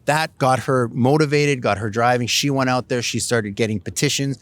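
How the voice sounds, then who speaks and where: A man's voice: mid-range voice, normal speed, fairly bright tone, dry recording. A middle-aged Canadian man, a city council candidate, answering interview questions in a rambling way with many fillers and restarts.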